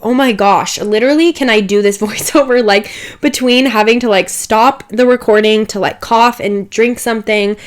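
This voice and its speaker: A woman's voice: on the high side, moderate pace, few pauses. A young woman getting over a cold, her voice a little stuffy and dry, chatting casually over a game build with many asides and "like"s. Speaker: Sims build creator.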